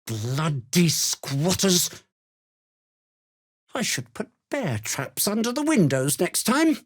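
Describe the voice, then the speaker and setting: Game role: a self-important, slippery British toy maker. Theatrical and wordy, slow delivery with big melodic swings, close dry sound. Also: male voice, sits high, huge pitch swings, no echo, full character voice. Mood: irritated. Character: fussy, irritable, self-important toy maker, male, slippery and easily flustered. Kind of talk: dramatic video game dialogue.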